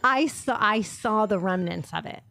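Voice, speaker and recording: A woman's voice: medium pitch, moderate pace, vocal fry, bright, forceful tone, no room sound. A woman hosting a casual podcast chat, blunt and joking, swearing freely, talking into a mic held off to the side. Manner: blunt, swears, jokes and laughs a lot. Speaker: podcast host. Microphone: studio mic held off to the side.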